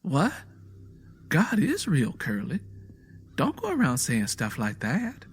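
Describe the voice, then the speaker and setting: A man's voice: a little high, wildly swooping pitch, slow, a little hiss or hum, voice pushed up, full character voice. A man voicing an earnest, anxious, devout young wife with an American Southern accent and a pleading rural way of talking, in a narrated story. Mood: scolding, pleading.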